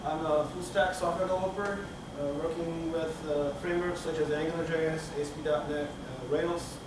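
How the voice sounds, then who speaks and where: A middle-aged man from Turkey giving a technical conference talk, halting with many fillers and restarts, recorded from the back of a conference room. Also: a little high, fairly even intonation. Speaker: conference speaker. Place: conference room with projector screen. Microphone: room camera from the back of the hall. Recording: echoey hall, speaker far from mic, room noise.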